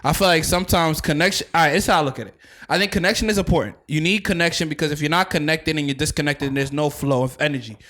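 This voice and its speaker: A man's voice: fairly high pitch, fast talker, a bit bright. A man hosting a podcast, talking in a casual, blunt and slangy way and often repeating himself. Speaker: podcast host. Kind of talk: casual chat.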